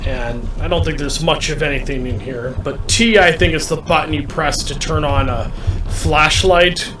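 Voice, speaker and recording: A man giving a gameplay walkthrough, deliberately explaining things to viewers and often repeating himself. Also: a little high, over music. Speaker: gamer commentating a playthrough. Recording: roomy, distant mic, faint background noise.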